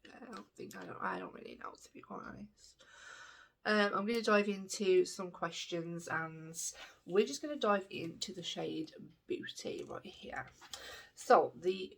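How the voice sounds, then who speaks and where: A woman's voice: lowish voice, sing-song, measured pace. A woman in her thirties, chatting frankly and at a rambling pace while doing her makeup for a vlog. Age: 30s. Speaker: makeup vlogger.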